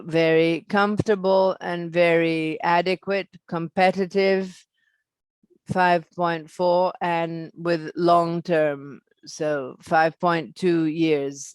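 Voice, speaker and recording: A speaker presenting financial results on an earnings call in halting, fragmented phrases. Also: medium pitch, smooth, no echo.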